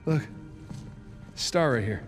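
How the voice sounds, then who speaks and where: A quick-witted male treasure hunter from a cinematic game. He sounds wary yet casual in a medium pitch, over faint music. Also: expressive. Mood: realizing. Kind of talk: cinematic video game acting. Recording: music bed behind dialogue.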